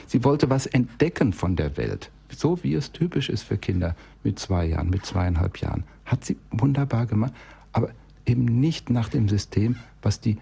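A man's voice: mid-range voice, gravelly, mellow, slightly rough. A man telling an anecdote as an expert guest in a radio interview, acting out bits of dialogue as he goes. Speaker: expert guest, child-development specialist.

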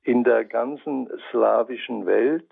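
Franconian-accented male commentator, measured and scholarly, raspy low-frequency grit, heard through a narrow radio line. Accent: Franconian German. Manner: measured, scholarly, searching for words. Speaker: commentator on Bible translation. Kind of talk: reflective spoken radio essay.

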